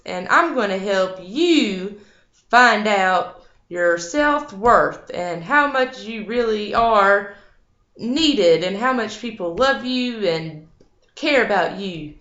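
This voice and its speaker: A woman voicing a gentle fairy godmother who speaks calmly and reassuringly, like a counselor, in an echoey room. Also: medium pitch. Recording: echoey, slightly dull recording.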